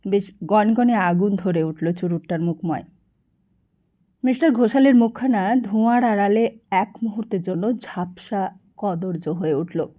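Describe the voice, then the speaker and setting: A woman's voice: mid-range voice, unhurried, warm tone, muffled recording. A woman reading a literary novel aloud as an audiobook narrator.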